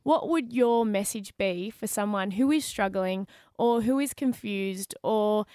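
A woman's voice: medium pitch, measured pace, clear, resonant tone, no room sound, studio quality. Woman co-host, earnest and encouraging. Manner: warmly encouraging, earnest questions. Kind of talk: conversational interview.

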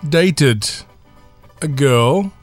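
A man's voice: a little high, animated, drawn-out words, soft background music. A man running a radio quiz, reading out clues and laughing along with the players. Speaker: quizmaster.

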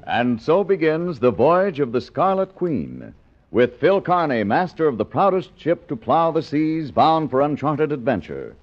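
A man's voice: on the high side, sing-song, unhurried, faint music behind. A man announcing a radio adventure serial in a grand, theatrical 1940s style. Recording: muffled 1940s radio recording.

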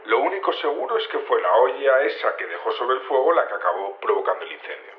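An actor playing a matter-of-fact security investigator, explaining hesitantly over a phone-like radio link. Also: male voice, medium pitch, big pitch swings, quick pace, slight background noise, lightly acted. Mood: hesitant, earnest. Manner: hesitant, stumbling, earnest explaining. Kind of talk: news phone interview in audio fiction. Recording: roomy, narrow radio or phone line.

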